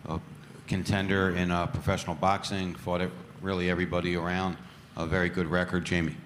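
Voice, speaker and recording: A man's voice: low voice, flat delivery, brisk, a bit bright, a little hiss or hum. A man introducing fighters one by one at a press conference.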